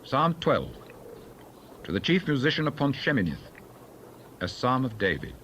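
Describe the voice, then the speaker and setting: Man formally announcing scripture titles, pitch moves around a lot, bit of noise behind him. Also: big pitch swings, normal speed, fairly bright tone.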